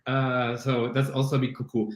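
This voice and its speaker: A man's voice: mid-range voice, level tone, normal speed. Roomy-sounding man with Argentine Spanish accent. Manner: self-deprecating, many restarts and fillers. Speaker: guest, CrossFit coach. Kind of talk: casual interview chat. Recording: roomy echo.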